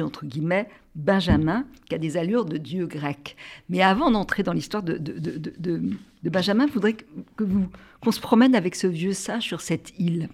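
A woman interviewer discussing a novel with its author, admiring and halting, often repeating words before she lands on a phrase. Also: lowish voice, darkish tone. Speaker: literary interviewer. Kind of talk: interview, reading excerpts.

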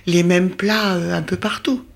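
A woman with a slightly low-pitched voice speaks as a guest in a radio interview. She presents an event programme in a conversational manner. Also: fairly even intonation, measured pace, gravelly.

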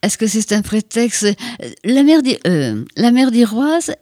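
A woman's voice: fairly high pitch, sing-song, mellow, no echo, clean studio sound. An older French woman author giving a radio interview, speaking reflectively with hesitations and frequent verbal tics. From France. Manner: reflective, halting, frequent "voilà" and "hein".

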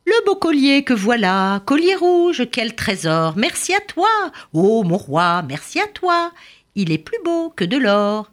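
A woman's voice: a little high, very animated, a little slow, pitched above the performer's own voice. A woman voicing a small cheeky chattering bird that mocks a king in a sing-song way. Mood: gleeful mocking.

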